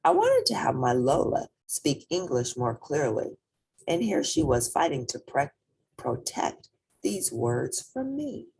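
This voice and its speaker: A woman reading a children's picture book aloud in an expressive, deliberate and emphatic storytelling manner. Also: deep voice, gravelly, a little hiss or hum, low-quality recording. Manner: deliberate, emphatic storytelling with spoken asides to listeners.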